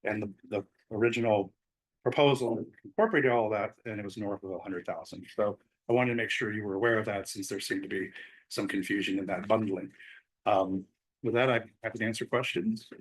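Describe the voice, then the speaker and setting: A man's voice: lowish voice, steady tone, fairly quick, husky, poor audio. A man speaking as a community group chair during public comment at a city commission meeting, explaining a proposal with frequent fillers. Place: city council chamber.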